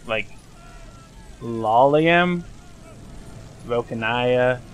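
A man voicing an awkward, downcast young man in a fan dub, talking in a halting, casual, evasive way. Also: mid-range voice, a little hiss or hum.

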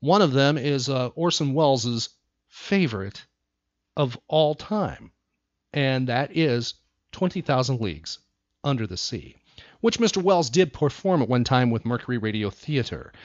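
A man hosting a podcast in casual chat, rambling and pausing for emphasis. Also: medium pitch, sing-song, no room sound, muffled recording. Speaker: podcast host.

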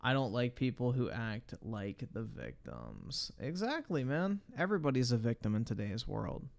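A man's voice: mid-range voice, sing-song, moderate pace, gravelly. A man doing casual live-stream reaction commentary, blunt and slangy with frequent swearing. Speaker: livestreamer reacting to videos.

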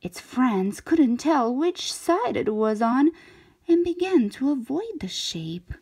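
Woman, storybook narrator, unhurried, very animated pitch, expressive, dramatic phrasing for children. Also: husky. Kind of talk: expressive scripted read-aloud narration.

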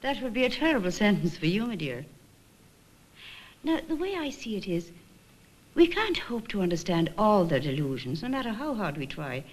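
An actress playing a kindly middle-aged ward sister in a 1950s instructional film, measured and gently questioning. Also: female voice, mid-range voice, big pitch swings, rough recording. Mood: patient, reassuring. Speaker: senior nurse leading a staff discussion.

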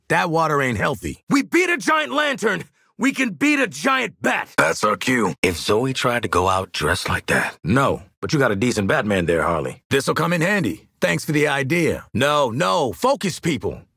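Cocky no-nonsense american hitman for a video game, gritty voice, pitch swings a lot, clipped sarcastic squad orders, dry close recording. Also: huge pitch swings, moderate pace, pushed and bright, dry recording, full character voice. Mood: urgent, shouting. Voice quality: gravelly, husky. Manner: clipped, sardonic, commanding. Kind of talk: video game combat barks and banter.

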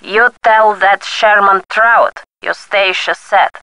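A woman's voice: medium pitch, fairly even intonation, slow, bright, forceful tone, dry recording, low-quality recording. An actress playing a crotchety, sardonic ninety-six-year-old woman in a video game, curt and dryly morbid. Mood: grumpy. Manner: curt, dry, morbid wit.

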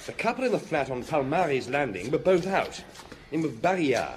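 An actor playing a keen, deferential junior police inspector in a radio drama, brisk and conversational. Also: male voice, a little high, big pitch swings. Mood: eager reporting. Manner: conversational, reporting to his superior. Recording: radio drama with background ambience noise.

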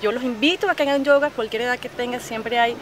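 Woman, 30s, Venezuelan Spanish accent, yoga instructor, animated, restarts, outdoors by a lake, noisy background. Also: on the high side, fast. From Chile. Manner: animated, gestures with her hands, many restarts. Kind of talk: outdoor interview. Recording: outdoor noise behind the voice.

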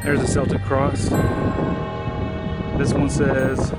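A man in his thirties filming a casual travel vlog with the camera held at arm's length, chatting in a relaxed way and easily impressed by what he sees. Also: medium pitch, slow pace. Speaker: travel vlogger. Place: outdoors on a country road, hotel and town. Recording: background music over the voice. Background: loud music.

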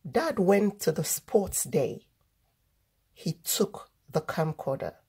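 A woman reading a children's storybook aloud, deliberately, word by word, as the host of a read-aloud. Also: on the low side, big pitch swings, mellow, husky. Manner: deliberate, word-by-word delivery for early readers.

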